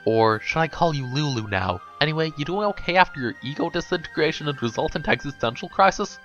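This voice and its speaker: A man voicing a caring young girl in a storybook reading, chatty in a hushed, casual way. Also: fairly high pitch, animated, quick pace, dull audio, faint music behind. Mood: gentle concern.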